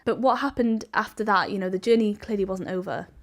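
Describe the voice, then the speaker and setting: A woman's voice: mid-range voice, brisk. A young Welsh woman, a Welsh speaker, hosting a casual podcast interview at her family home in a chatty manner. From Wales. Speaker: podcast host.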